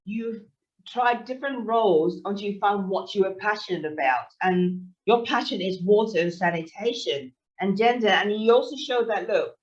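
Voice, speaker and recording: A woman's voice: medium pitch, moderate pace. Woman podcast host, distant muffled sound. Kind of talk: podcast interview. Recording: distant, dull lo-fi audio.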